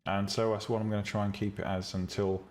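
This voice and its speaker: Deep, flat-voiced man chatting. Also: fast talker. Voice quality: calm, relaxing voice. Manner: blunt, opinionated, frequent ums and restarts. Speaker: streamer teaching 3D rendering and visual novel making. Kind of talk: casual live-stream chat with viewers.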